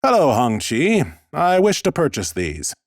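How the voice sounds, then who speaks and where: A man's voice: a little high, huge pitch swings, a little slow, no room sound, clean studio sound, voice pushed up, full character voice. A man voicing an ancient, mighty lich in a fantasy audiobook, bookish and courteous, with a formal, pompous manner. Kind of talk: audiobook character acting.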